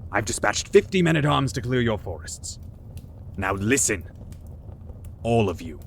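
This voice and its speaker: A man playing an irascible, blustering king in a fantasy audio drama, gruff, emphatic and imperious. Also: on the low side, very animated, measured pace, a little hiss or hum, exaggerated voice. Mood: commanding.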